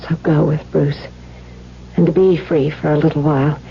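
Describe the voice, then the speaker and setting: Low-pitched devoted wife, old radio. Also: female voice, little pitch movement, moderate pace, gravelly. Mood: apologetic, tender. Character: gentle, devoted, anxious aristocratic wife and mother. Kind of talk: 1970s radio drama acting. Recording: dull vintage radio broadcast, faint hum.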